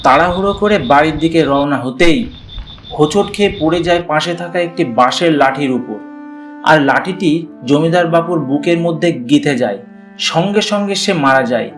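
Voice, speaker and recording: A man's voice: on the high side, brisk, soft background music. A man narrating a scripted cartoon story.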